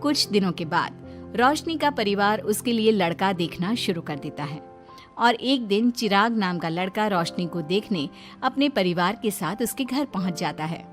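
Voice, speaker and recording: A woman's voice: medium pitch, lively intonation, moderate pace, soft background music. A woman reading scripted narration for a cartoon moral story.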